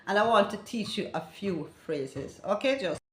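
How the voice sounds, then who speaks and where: An older woman with a Jamaican accent gives a casual lesson to her channel's viewers. She chats and corrects herself as she goes. Her mid-pitched voice rings through a noticeably echoey room. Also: expressive, moderate pace. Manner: chatty, self-correcting, asides to viewers. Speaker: channel host teaching language.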